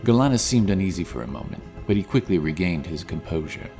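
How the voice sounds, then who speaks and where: A man's voice: sits low, big pitch swings, fast, vocal fry, music in the background. A man giving dramatic scripted narration of a fantasy story.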